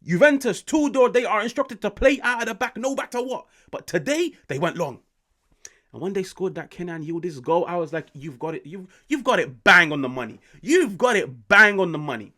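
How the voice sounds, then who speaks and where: A British man in his late twenties, hosting an excited football reaction, swearing often and swinging between loud outbursts and hushed asides. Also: high-pitched, fairly quick. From United Kingdom. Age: 20s. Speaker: football channel host.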